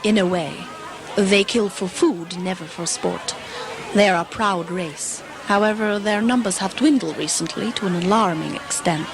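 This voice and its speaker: Actress as bossy mysterious lady leader, grave and measured, sci-fi audio play, crowd and noise in background. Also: on the low side, lightly acted. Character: commanding, wise mysterious lady leading two companions. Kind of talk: sci-fi audio drama acting. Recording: noisy outdoor amateur recording with crowd.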